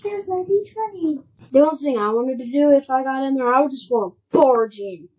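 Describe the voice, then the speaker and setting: Woman, tabletop game player, high voice, casual chat, muffled lo-fi sound. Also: expressive, brisk.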